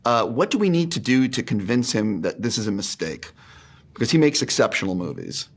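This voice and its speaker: A man's voice: mid-range voice, very animated, brisk, husky. A man working as an interviewer, chatting in an informal, enthusiastic way as he puts questions to his guests. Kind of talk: casual, conversational interview.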